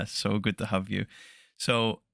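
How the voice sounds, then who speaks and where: A man hosting a soft-spoken interview podcast, chatty and laughing often, correcting himself as he goes. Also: lowish voice, flat delivery, slow, vocal fry, no room sound, studio quality. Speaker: podcast host.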